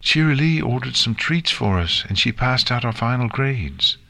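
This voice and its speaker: A man voicing an eager, excitable young filly pony girl in a storybook reading. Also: mid-range voice, moderate pace, creaky, voice pushed up, poor audio. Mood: excited. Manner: eager, childlike.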